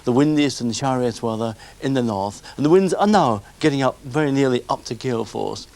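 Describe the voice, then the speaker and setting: A man's voice: medium pitch, animated, fairly quick, a little mellow. A man presenting a television weather forecast in a conversational way.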